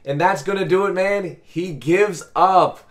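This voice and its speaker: A raspy, slightly hoarse man commentates his own video game play. He coaches viewers in informal sports slang and repeats short phrases. Faint music plays behind him. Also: high voice, unhurried. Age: 20s. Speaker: gaming video host and coach. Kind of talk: excited live gameplay commentary. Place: small bedroom, indoors.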